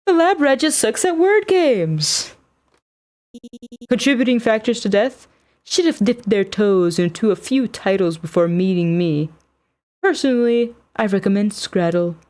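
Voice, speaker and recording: A woman's voice: mid-range voice, lively intonation, a little slow, a little mellow, lightly acted. Woman reading a smug, taunting visual novel villain. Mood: mocking. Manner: mocking, theatrical, wordy. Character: smug, taunting villain toying with a captive investigator. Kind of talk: visual novel dialogue read aloud.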